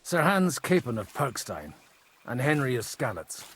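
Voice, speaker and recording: A man playing a worldly medieval parish priest in a video game, measured and dryly witty, with music behind. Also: mid-range voice, very animated, fairly bright tone, slightly rough. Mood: polite, probing. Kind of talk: video game cutscene acting.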